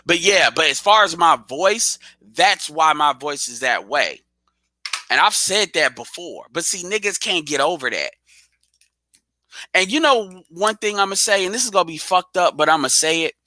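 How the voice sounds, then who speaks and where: A man's voice: fairly high pitch, big pitch swings, normal speed, sharp tone, no echo. A man hosting a live audio stream in casual chat, blunt and profane, talking straight at his listeners.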